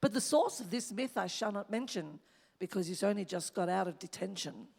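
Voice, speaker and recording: A woman's voice: medium pitch, expressive, brisk, gravelly, a little mellow. A woman playing a chatty, wisecracking radio reporter in the underworld, in a rhyming stage radio play with a wry manner. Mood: playfully informative. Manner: chatty, wry, playfully stalling. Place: live stage in a hall. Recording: echoey hall with long reverb.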